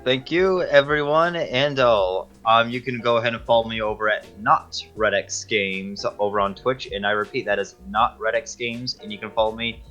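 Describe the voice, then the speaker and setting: A man's voice: fairly low pitch, moderate pace, hardly any pauses, pushed and bright, lo-fi sound, faint music behind. A young man, a guest on a podcast, upbeat as he tells listeners where to follow him. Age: young adult.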